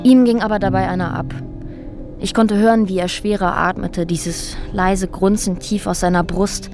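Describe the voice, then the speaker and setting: Radio drama role, played by an actress: woman witness, mid pitch, measured and halting, recalling a disturbing ordeal plainly over clear music. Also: fairly even intonation, a little mellow, natural voice. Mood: matter-of-fact, reflective. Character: woman quietly recounting a disturbing, strange ordeal. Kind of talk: radio drama acting, reflective monologue. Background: music under the voice.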